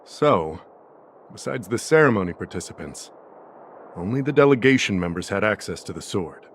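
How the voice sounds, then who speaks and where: An actor playing a shrewd, insistent investigator in a video game, deliberate and logical as he reasons and questions. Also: on the low side, expressive, slight background noise. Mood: measured, reasoning. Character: shrewd, insistent male investigator pressing an accusation. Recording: music behind the voice.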